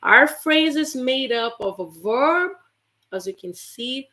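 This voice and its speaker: Slow-talking woman from Brazil teaching English. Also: sits high, animated. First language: Portuguese. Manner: chatty, warm to viewers, many fillers and restarts. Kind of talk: casual livestream lesson.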